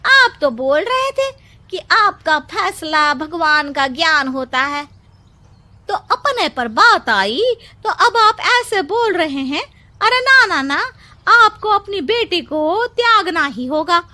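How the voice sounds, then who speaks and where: A woman's voice: very high voice, very animated, slow, sharp tone, no echo, exaggerated voice. A woman voicing an anguished, outspoken village woman in a Hindi cartoon, melodramatic and imploring. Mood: scolding. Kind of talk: Hindi cartoon story dialogue.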